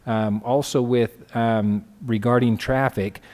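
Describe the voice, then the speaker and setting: A man, a city engineer, explaining road access to a council, halting and with many fillers. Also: medium pitch, fairly even intonation, a little mellow, big-room sound. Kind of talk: technical explanation at a council meeting.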